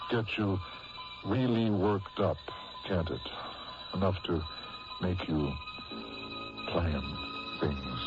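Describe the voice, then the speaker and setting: Music plays clearly behind a man with a low voice on a slightly dull radio recording. He introduces a drama theatrically, speaking confidingly to the listener. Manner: confiding, addresses the listener directly. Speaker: radio drama series host.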